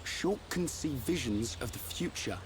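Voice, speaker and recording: An actor playing a brash, loyal young fighter in a video game, blunt and cocky. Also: male voice, a little high, animated, unhurried, background noise. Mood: defiant.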